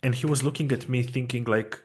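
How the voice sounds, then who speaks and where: A man's voice: mid-range voice, steady tone, a little fast, husky. A man from Serbia, hosting an interview and telling a personal story in an earnest way. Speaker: host. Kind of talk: conversational interview.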